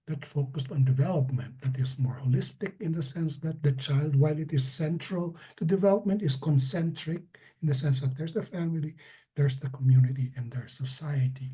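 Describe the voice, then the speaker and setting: A middle-aged man from the Philippines speaks on a career panel in a fairly high, husky voice. His tone is mellow and relaxed, and he talks conversationally with playful asides. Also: moderate pace. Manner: conversational, asides and self-deprecating jokes. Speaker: panelist, development consultant. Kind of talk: personal career talk at an info session.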